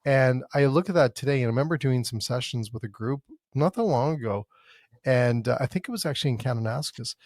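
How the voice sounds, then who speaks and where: A man's voice: medium pitch, fast, a little mellow, dry recording. A man hosts a podcast interview in an easygoing, rambling way. He restarts his sentences often and keeps the talk loose. The recording is dry and close, with no room sound. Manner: rambling, self-interrupting with repeated starts. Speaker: podcast host. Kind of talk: casual conversational interview.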